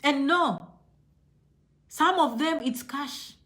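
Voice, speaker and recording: Bright, high-voiced Kenyan woman vlogger. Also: slow. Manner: emphatic, repeats phrases for stress. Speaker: online video host. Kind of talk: casual motivational vlog talk to viewers.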